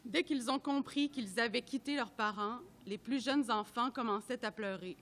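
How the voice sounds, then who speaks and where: A woman reading a report aloud at a public event, in a measured, careful way that sometimes trips over names. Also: a little high, fairly bright tone, a little hiss or hum. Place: art gallery at a live event.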